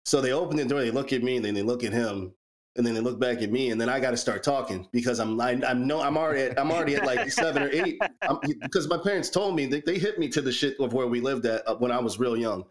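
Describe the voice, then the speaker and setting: A man in his forties co-hosting a podcast, telling personal stories in a relaxed, rambling way with frequent repeated words. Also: mid-range voice, fast talker. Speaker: podcast co-host. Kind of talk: casual chat, personal storytelling.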